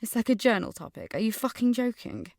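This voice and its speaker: A woman's voice: a little high, very animated, brisk, creaky, no room sound, full character voice. A woman playing an angry, suspicious teenage schoolgirl in an audio drama, earnestly narrating her memories and visions and speaking in dialogue. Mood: indignant.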